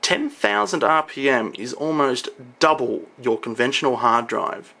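A man's voice: medium pitch, animated, a little slow, gravelly, sharp tone. A young man giving an informal, explanatory product walkthrough on camera as a video presenter. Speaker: video presenter showing computer parts.

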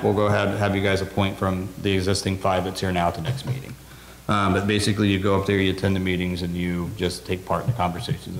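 A man giving a quick, businesslike report to a city council, with many fillers. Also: fairly low pitch. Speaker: city administrator. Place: wood-paneled council room, indoors. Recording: roomy meeting hall with faint noise.

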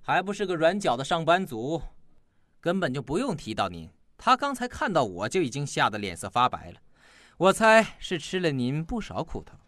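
A man's voice: fairly high pitch, huge pitch swings, moderate pace, fairly bright tone, no echo, studio quality. An actor playing a sly, fawning henchman and shady informant, glib and wheedling, in an audio drama. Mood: boastful, fawning. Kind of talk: Chinese audio drama acting.

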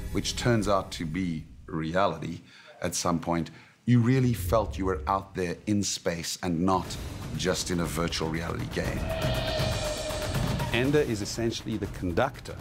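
Middle-aged male director, lowish pitch, animated storyteller in interview, light music bed. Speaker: film director. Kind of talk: behind-the-scenes interview.

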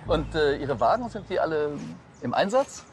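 A self-satisfied small-town mayor in a TV crime comedy. His slightly high, animated voice is genial and patronising, over faint music. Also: normal speed. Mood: genial, inspecting. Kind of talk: TV comedy crime series acting.

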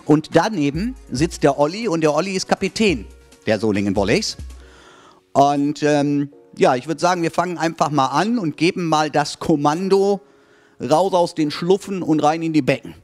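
A man's voice: a little high, lively intonation, normal speed, soft background music. A middle-aged man hosting a casual talk show in a spa studio, chatty and joking, speaking into a handheld mic. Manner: jokey, chatty.

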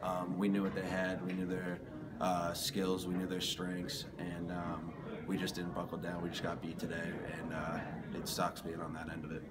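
Young captain dude, real low and monotone, hallway, lots of noise, mic kinda far. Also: male voice, moderate pace. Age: young adult. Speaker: team captain. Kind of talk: postgame interview. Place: indoor hallway. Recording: distant mic with loud background noise.

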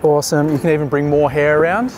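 A man in his thirties directing a beach photo shoot, relaxed and chatty, with many restarts and fillers, recorded outdoors at the shore. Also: a little high, fast talker, noisy background. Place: open sandy beach at sunset, outdoors. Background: music.

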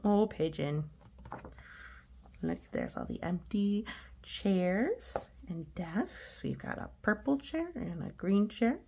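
A woman's voice: lowish voice, vocal fry, dark tone, slightly rough. A woman reading a storybook aloud to children, adding reassuring asides and explaining the pictures. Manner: reassuring, talks directly to child listeners. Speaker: storybook reader.

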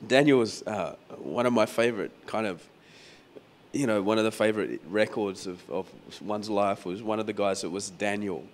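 A man preaching a sermon as pastor in a croaky, hoarse voice, talking conversationally and repeating phrases for emphasis. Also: fairly low pitch, moderate pace, a little hiss or hum. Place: venue hall during a church service.

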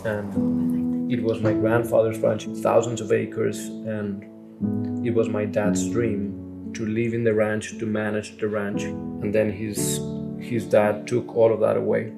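Man, 40s, from Colombia; flat, reflective testimony, guitar music loud underneath. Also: lowish voice, slow pace, gravelly. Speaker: testimony speaker. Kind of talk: documentary testimony interview.

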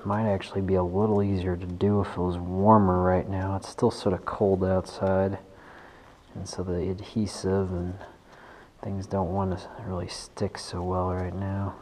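Male tutorial host, mellow and muted in tone, informal and hands-on, recorded in an echoey workshop with some background noise. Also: low voice, level tone, a little slow. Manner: informal, thinking aloud while working. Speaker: bike mechanic host. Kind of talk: casual hands-on tutorial narration. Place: indoors in a large wooden workshop garage. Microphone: action camera worn on the body. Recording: echoey wooden garage with noticeable noise.

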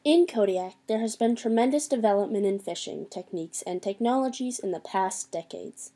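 A woman's voice: mid-range voice, normal speed, warm tone. A young woman reading scripted documentary narration. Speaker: student narrator.

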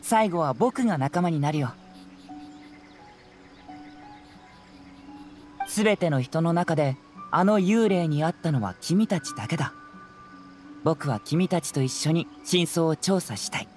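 A youthful male detective from an anime game, played by a man. He speaks casually and with composure, clever and analytical, always sure of himself. Soft music sits behind the voice. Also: fairly high pitch, lively intonation, moderate pace, lightly acted. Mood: composed. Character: calm, clever, confident young male detective.

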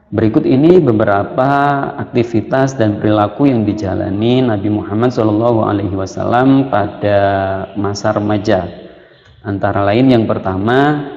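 A young man, teaching children a religious history lesson in measured, short phrases. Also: on the low side, warm tone. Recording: muffled, echoey room with long reverb.

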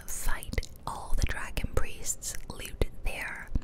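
A woman whispering chatty, playful ASMR commentary over a video game, close to the microphone. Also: takes it slowly. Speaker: host of an ASMR gaming channel. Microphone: close ear-to-ear ASMR microphone.

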